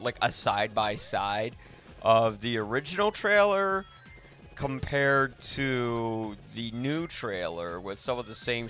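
A man with a mid-pitched voice hosts a casual film discussion. He talks informally and freely airs his opinions, rambling from thought to thought. Music plays faintly behind him. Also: sharp tone. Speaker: podcast host.

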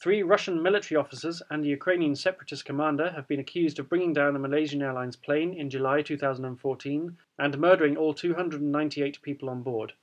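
A man's voice: a little high, quick pace, few pauses, dull audio. A man co-hosting a news podcast, chatting casually and then reading news items, laughing easily. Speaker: podcast co-host. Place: lives in the Netherlands.

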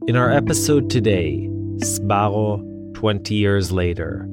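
Low-voiced man hosting a documentary podcast. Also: animated. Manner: measured, somber. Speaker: podcast host. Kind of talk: scripted documentary narration. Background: music bed.

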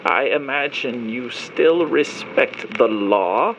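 An actor playing a protective ship's doctor in an audio drama, polite, formal and measured, with wordy phrasing. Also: male voice, mid-range voice, animated, a bit bright, a little hiss or hum, poor audio. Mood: composed, protective.

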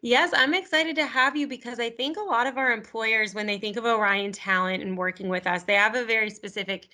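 A woman's voice: on the high side, fairly quick, sharp tone. A woman hosting a podcast, reading a scripted intro and then chatting upbeat with her guest. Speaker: podcast host.

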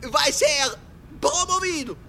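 A man's voice: extremely high pitch, expressive, slow, bright, forceful tone, full character voice. A man playing a pompous, chummy factory director in a comedy sketch, grandiose and over-friendly. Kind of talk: exaggerated comedy sketch acting.

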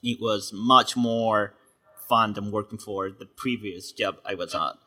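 A man hosting a podcast who introduces the episode and interviews his guest with an upbeat, energetic delivery. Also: fairly low pitch, unhurried. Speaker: podcast host.

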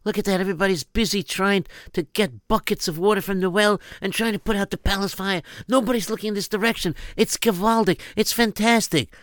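A man's voice: sits high, fairly quick, few pauses, fairly bright tone, no room sound. A man doing an earnest young woman fleeing. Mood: determined.

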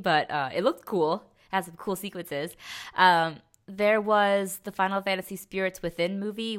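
A woman's voice: low-pitched, a bit bright. A young woman co-hosting a podcast, chatting casually about pop culture and rambling as she thinks aloud. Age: young adult. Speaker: podcast co-host.

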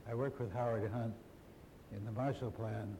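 A man's voice: medium pitch, steady tone, normal speed, mellow, long reverb tail, a little hiss or hum, rough recording. An older man, a historian on a panel, who speaks plainly and firmly. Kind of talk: panel discussion. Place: large hall.

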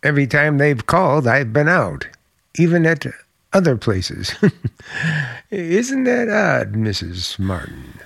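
Man acting an evasive, creaky-voiced fugitive. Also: fairly high pitch, very animated, a bit bright, dry recording, studio quality, full character voice. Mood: guarded, wry. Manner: hesitant, halting, dryly polite. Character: evasive, outwardly polite man on the run, secretly menacing. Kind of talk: radio theater acting.

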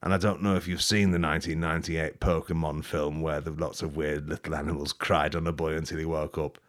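A man rambling through an improvised mock tour-guide bit, deadpan and absurd, swearing and laughing at his own jokes. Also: rumbling low, fairly quick. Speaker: podcast host.

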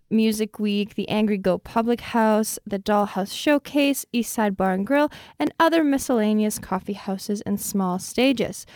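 A woman's voice: medium pitch, darkish tone, dry recording. A woman hosting a studio show, reading out an introduction for a guest. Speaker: radio show host. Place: radio studio.

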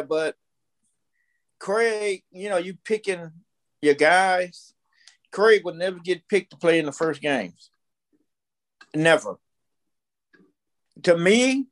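An American man in his fifties telling stories casually, blunt and opinionated, repeating himself for emphasis. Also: fairly high pitch. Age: 50s. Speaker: podcast guest. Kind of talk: casual storytelling conversation.

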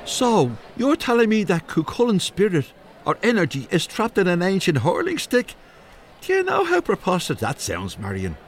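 Swooping, creaky young man, polite and earnest, excitable, wordy, comedy audio drama. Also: high voice, moderate pace, slight background noise, full character voice. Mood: incredulous.

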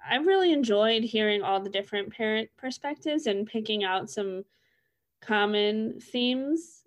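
A woman's voice: a little high, animated, unhurried. The young woman you would hear co-hosting a small discussion podcast, thinking aloud in casual conversation. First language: English. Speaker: co-host in a reflective discussion.

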